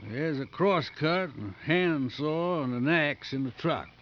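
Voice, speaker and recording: Firm sheriff, lightly high-pitched. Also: male voice, animated, unhurried. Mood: businesslike. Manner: plain-spoken, firm, reassuring. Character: steady, dutiful county sheriff. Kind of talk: 1950s radio drama acting. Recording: muffled, hissy 1950s radio recording.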